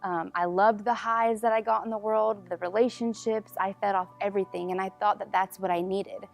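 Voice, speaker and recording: A woman in her early twenties giving an informal, expressive personal testimony peppered with "like". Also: mid-range voice, fairly quick, gravelly, soft background music. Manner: expressive, uses "like" often. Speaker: church member giving testimony.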